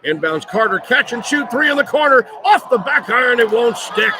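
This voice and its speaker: A man calling live basketball on the radio in clipped running phrases, getting excitable on big plays. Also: very high voice, moderate pace. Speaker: play-by-play radio announcer. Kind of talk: live basketball play-by-play commentary. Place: courtside at a large indoor arena. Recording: loud arena noise behind the voice. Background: loud game ambience.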